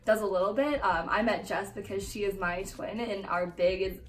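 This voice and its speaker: A nineteen-year-old woman answering interview questions in an informal way, gently qualifying what she says. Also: mid-range voice, steady tone, moderate pace. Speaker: college student, sorority member. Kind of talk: casual personal interview answers. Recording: echoey, distant mic, music underneath.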